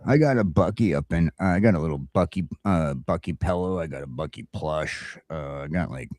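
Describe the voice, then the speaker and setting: Very deep-voiced middle-aged American man, dry sound. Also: fairly quick, a little mellow, no echo. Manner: rambling, crude-humored storytelling. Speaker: talk show host. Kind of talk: casual comedic morning chat.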